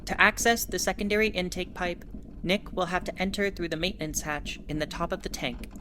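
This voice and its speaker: A woman's voice: lowish voice, steady tone, a little fast, bright, forceful tone, slight background noise. A woman voicing a winged, four-armed female alien starship captain who speaks in an earnest, explanatory way. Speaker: ship captain. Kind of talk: audio drama narration.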